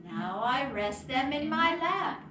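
A woman's voice: high voice, very animated, slow. A woman presenting storytime, speaking expressively to young children as she leads rhymes and introduces books. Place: small children's classroom indoors. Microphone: distant camera microphone. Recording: echoey, noisy room, far from mic.

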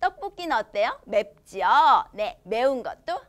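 A woman in her thirties teaching young children English from a storybook in a studio. She reads the English lines slowly and with exaggerated care, then explains them cheerfully in Korean. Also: on the high side, big pitch swings, fairly bright tone. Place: studio in front of a green screen.

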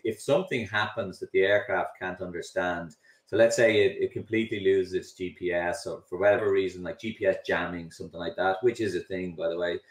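A middle-aged Irish man, a company founder, explaining his work in a video call interview, often restarting and repeating words. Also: sits low. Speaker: drone delivery company founder, guest. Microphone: webcam and computer mic on a video call. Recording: roomy video call audio, slightly distant.

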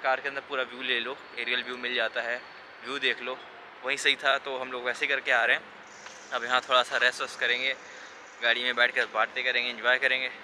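A man's voice: medium pitch, pushed and bright, slight background noise, rough recording. A man vlogging casually from inside a car, talking straight to his viewers about where he is going next. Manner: chatty, addresses viewers directly. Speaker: travel vlogger. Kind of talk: casual travel vlog.

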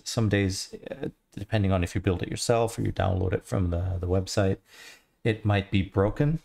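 A man's voice: lowish voice, gravelly. A man in his thirties with a mixed Australian, Irish and American accent, chatting casually on a livestream and answering viewer questions through a headset mic from a home studio. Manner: relaxed, rambling, answers chat questions. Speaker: livestreamer, 3D artist.

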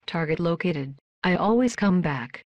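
A woman voicing a polite, emotionless female robot worker in a video game, with flat, stilted, deadpan delivery. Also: on the low side, unhurried, dry recording, highs cut off. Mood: flat, alert. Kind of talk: scripted video game voice lines.

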